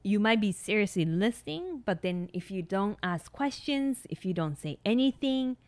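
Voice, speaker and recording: Japanese-accented woman, smooth and close. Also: mid-range voice, lively intonation, normal speed, darkish tone, no echo. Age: 30s. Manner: earnest, many fillers like um and you know. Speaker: nurse practitioner and podcast host. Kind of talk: solo podcast monologue.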